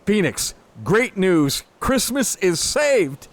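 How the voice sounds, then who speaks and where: A man's voice: high-pitched, very animated, takes it slowly, heavily acted. A man playing an earnest, persuasive space adventurer hero in a sci-fi comedy audio drama, speaking with dramatic sincerity. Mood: triumphant. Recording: music behind the voice. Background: music.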